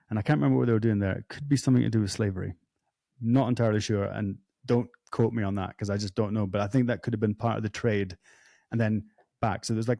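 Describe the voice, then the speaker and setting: A man with a low, mellow voice, recorded dry and close with almost no room sound. He hosts casually, thinking aloud as he goes and stumbling over the details with frequent fillers. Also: no echo. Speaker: podcast host. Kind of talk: casual conversation.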